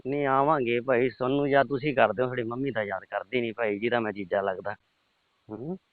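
A man's voice: mid-range voice, fairly even intonation, fast, no room sound. A middle-aged man, having a casual private chat over the telephone. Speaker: uncle on a phone call. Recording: tinny, band-limited phone call recording.

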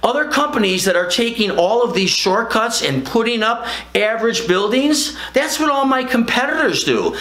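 An older man giving an emphatic, salesy pitch straight to camera in a studio, gesturing as he talks. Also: pushed and bright, echoey room. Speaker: franchise company founder. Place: indoor studio with plain gray backdrop.

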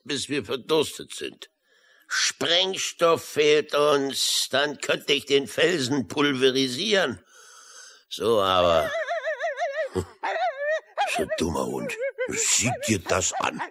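Excitable old sea-dog uncle, salty exclamations, hugely varied pitch, unhurried pace, children's radio play. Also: male voice, full character voice. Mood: grim, sardonic. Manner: exclamatory, salty sailor talk.

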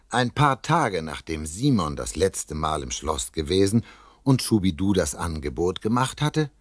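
An actor playing a chatty, confiding storyteller, narrating a children's radio play in a husky voice. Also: male voice, medium pitch, no room sound, studio quality, exaggerated voice. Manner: conversational, confiding. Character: chatty, cosy male storyteller addressing young listeners.

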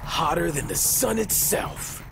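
Brash defiant fighter: lively delivery, game dialogue under heavy music. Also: male voice, fairly high pitch, measured pace, vocal fry, exaggerated voice. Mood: cocky. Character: cocky defiant male fighter. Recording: loud game music behind.

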